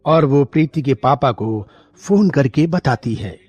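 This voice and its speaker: A man's voice: medium pitch, animated, a little slow, darkish tone, breathy edge, no room sound. A man narrating a scripted moral story as a storyteller.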